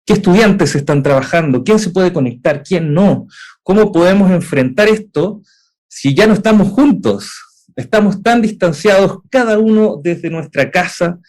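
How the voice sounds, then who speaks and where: A man's voice: high voice, expressive, normal speed, a little mellow. A young man with a Chilean accent presenting in a webinar, explaining at length with frequent "eh" fillers and rhetorical questions. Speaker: edtech founder presenting.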